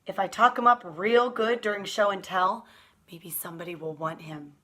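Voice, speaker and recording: A woman's voice: mid-range voice, steady tone, sharp tone. Woman reading as emphatic, reluctant little storybook boy. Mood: pleading, earnest. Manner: emphatic, drawn-out storybook delivery. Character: reluctant, grumbling little boy narrating his pet story.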